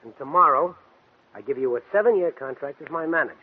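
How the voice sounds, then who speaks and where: An actor playing a smooth, coldly controlled, scheming man in a 1940s radio drama. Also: fairly high pitch, animated, fast talker. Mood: smooth, pressuring. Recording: muffled, tinny vintage 1940s radio recording.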